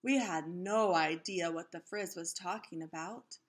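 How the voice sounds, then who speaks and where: A woman reading a children's story aloud expressively, pausing to explain things to her listeners. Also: fairly low pitch. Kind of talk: children's read-aloud narration.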